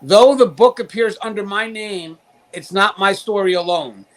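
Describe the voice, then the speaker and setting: A man's voice: sits high, moderate pace. A man hosting an interview show, presenting to his audience and addressing guests. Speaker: talk show host. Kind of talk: upbeat show hosting with sponsor read and interview questions.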